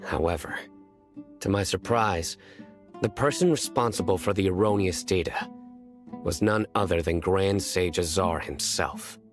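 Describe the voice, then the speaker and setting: A man playing a stern, dignified general in a video game, speaking formally, gravely and deliberately. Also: sits low, faint music behind. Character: stern, dignified male general and law enforcer.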